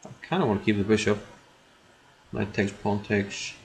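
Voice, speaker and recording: A man's voice: on the low side, moderate pace, vocal fry, slight background noise, low-quality recording. A man with an Icelandic accent giving casual live commentary as he streams a game, muttering and repeating his own phrases, thinking aloud. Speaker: chess streamer.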